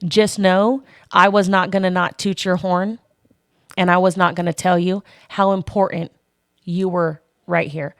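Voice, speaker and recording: A woman hosting a live online panel, loud and forceful, swearing often and repeating herself. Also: on the low side, little pitch movement, unhurried, smooth, no echo, clean studio sound. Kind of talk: heated live-stream talk.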